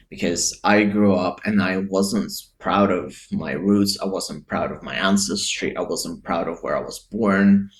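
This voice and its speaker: A man who grew up in Eastern Europe, giving casual, reflective opinion commentary and thinking aloud. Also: deep voice. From Eastern Europe, Lithuania and Ukraine.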